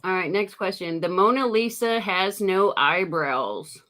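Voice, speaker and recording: A woman's voice: medium pitch, lively intonation, pushed and bright. Playful woman hosting a live morning show. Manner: playful, teasing, quick comebacks. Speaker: host.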